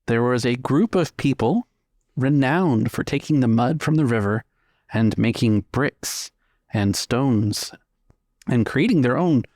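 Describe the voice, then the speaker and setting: A man's voice: mid-range voice, darkish tone, dry recording, clean studio sound. A man voicing a prim, huffy tour guide who lectures officiously in a tabletop game. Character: prim, huffy male tour guide reciting dubious local history.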